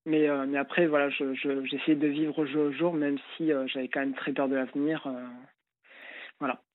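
Hesitant young man, caller, slightly high voice, flat delivery, tinny phone line. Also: normal speed. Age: late 20s. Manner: hesitant, many fillers and restarts. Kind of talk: phone-in call.

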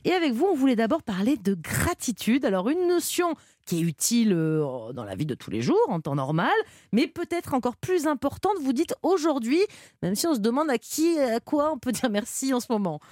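A woman hosting a daily radio health show, guiding the talk and introducing callers and guests in a lively, chatty manner. Her voice sits a bit high, and the studio sound is dry and close. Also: moderate pace, dry recording. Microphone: studio mic.

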